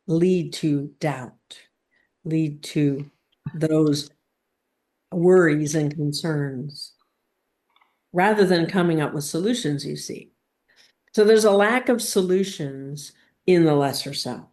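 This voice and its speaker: A woman leading a spiritual teaching session, speaking in deliberate, halting phrases with emphatic pauses and guiding questions. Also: low-pitched, darkish tone.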